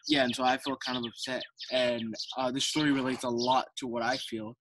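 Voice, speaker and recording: A man's voice: mid-range voice, flat delivery, a little fast, fairly bright tone, slight background noise. A teenage boy from Colombia whose first language is Spanish, reading a picture book aloud to children with many hesitant ums. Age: teens. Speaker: student reader.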